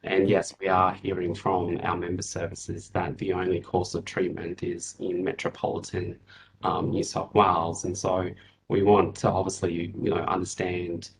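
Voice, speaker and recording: A man in his thirties gives testimony at a hearing over a video call. His voice is very low and flat, and he speaks in a measured way with many fillers. A faint noise hangs behind him. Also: vocal fry.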